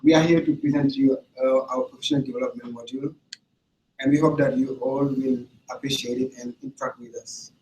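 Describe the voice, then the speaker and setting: A man from Senegal, an English teacher, presenting a training module to camera in a deliberate way, often restarting and repeating words. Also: mid-range voice, fairly even intonation, dark tone, a little hiss or hum. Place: room without audience. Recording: echoey room, far from the mic, faint ambience.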